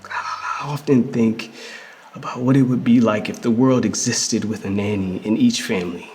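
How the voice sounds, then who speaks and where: An actor playing a reflective man in a theatrical first-person memoir monologue, telling it conversationally with emphasis and pauses. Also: on the low side, steady tone, a little mellow, natural voice. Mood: earnest, impassioned. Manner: conversational, emphatic storytelling with pauses and repeated words. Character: reflective queer man fondly recalling his hustling grandmother. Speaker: actor in a dramatic reading of a memoir. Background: music.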